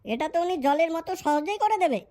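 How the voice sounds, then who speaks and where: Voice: high-pitched, animated, fast, mellow. A performer playing a crude, cocksure young male friend handing out advice in a comic cartoon. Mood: assured, advising. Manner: crude, advising.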